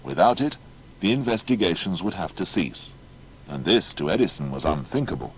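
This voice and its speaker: Male narrator for audio biography, very low and animated, formal emphatic storytelling, faint noise behind. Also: moderate pace, poor audio. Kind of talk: scripted documentary biography narration.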